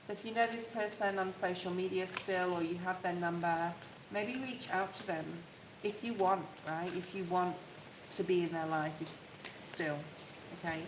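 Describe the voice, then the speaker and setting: A soft-voiced woman giving a live tarot reading, talking in a chatty, reassuring way and often checking in with "okay?". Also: fairly low pitch, moderate pace. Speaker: tarot reader. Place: outdoors in the rain. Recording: echoey, with loud rain noise. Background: rain noise.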